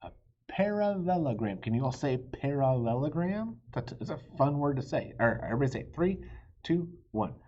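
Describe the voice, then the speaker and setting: A man reading a storybook aloud for children in an expressive teacherly way. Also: mid-range voice, lo-fi sound. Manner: animated, pauses to quiz listeners.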